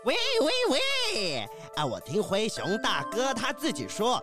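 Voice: mid-range voice, wildly swooping pitch, a little slow, music in the background. A performer voicing a friendly, chatty kiwi bird professor in a children's audio drama, full of interjections. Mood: chatty, kindly. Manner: chatty, peppered with interjections, welcoming. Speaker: university teacher character in children's detective audio drama.

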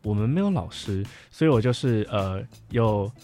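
A man's voice: lowish voice, expressive, moderate pace, darkish tone, faint music behind. A young man with a Taiwanese Mandarin accent co-hosts a casual podcast, explaining and chatting. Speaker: podcast co-host and camp counselor.

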